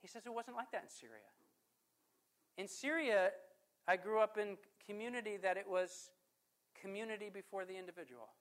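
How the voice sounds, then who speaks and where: A man preaching a sermon as pastor. He puts questions to the congregation, slows down to stress scripture, and swings from forceful to hushed. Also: sits high. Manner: emphatic preaching with rhetorical questions.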